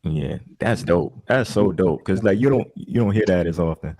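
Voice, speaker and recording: A man's voice: sits low, lively intonation, moderate pace, darkish tone. A podcast co-host, a man asking easygoing questions in casual slang.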